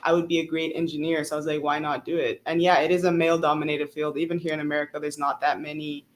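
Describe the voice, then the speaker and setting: A woman's voice: low-pitched, little pitch movement, quick pace. A young woman from South Africa whose first language is Swahili, speaking as a student guest in a casual interview, chatty, earnest and emphatic. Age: young adult.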